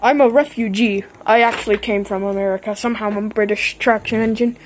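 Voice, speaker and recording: A woman's voice: medium pitch, steady tone, quick pace, slight background noise, natural voice. A woman voicing a laid-back, weary war-veteran engine in a toy-train roleplay, casual and matter-of-fact. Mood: low-key, matter-of-fact.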